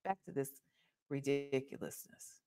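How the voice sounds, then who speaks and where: A woman's voice: a bass voice, measured pace. A woman in her forties with a Southern US accent, hosting a reaction video from a small room at home and speaking into a desk microphone with emphatic, sassy commentary. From Ohio, United States. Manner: emphatic, sassy, animated talk. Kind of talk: casual commentary on a played call. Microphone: webcam with desk microphone.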